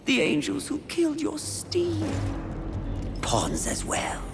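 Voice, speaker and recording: Seductive demon for a game, sly n taunting, slow and swoopy, music behind. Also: male voice, very high voice, wildly swooping pitch, vocal fry, heavily acted. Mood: sly, knowing. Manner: theatrical, taunting, coaxing. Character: seductive, sly, mocking demon embodying the sin of lust. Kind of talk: dramatic video game acting. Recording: music under the voice.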